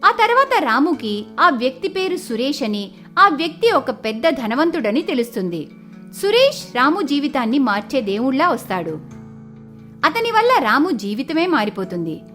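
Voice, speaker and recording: A woman's voice: high-pitched, big pitch swings, moderate pace, a bit bright, soft background music. A woman narrating a scripted moral story as its storyteller.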